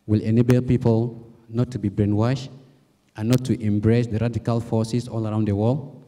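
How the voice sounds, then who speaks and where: Earnest man, dark mellow voice. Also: medium pitch. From Cameroon. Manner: earnest, formal, courteous. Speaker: audience member asking a question. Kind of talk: formal question from the floor. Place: lecture hall. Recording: long reverb of a large hall.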